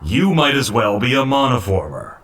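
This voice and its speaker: A man's voice: mid-range voice, big pitch swings, moderate pace, a bit bright, recorded from a distance. A man playing a sarcastic, grumbling robot in a comic dub, with a dry, needling delivery. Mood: teasing, dismissive. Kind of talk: comic book dub acting.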